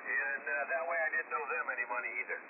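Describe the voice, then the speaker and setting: A man chatting casually as an amateur radio operator over shortwave, halting and repeating syllables as he goes. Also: medium pitch, fairly even intonation. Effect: shortwave single-sideband radio. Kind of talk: casual two-way radio chat. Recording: narrow phone-like radio band, faint static. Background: faint radio static.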